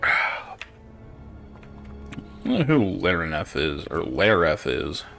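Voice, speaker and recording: A man's voice: deep voice, sing-song, takes it slowly, gravelly, pushed and bright, faint music behind. A man doing casual gameplay commentary and reading quest text aloud, with dry, muttered, sweary asides. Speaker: game streamer.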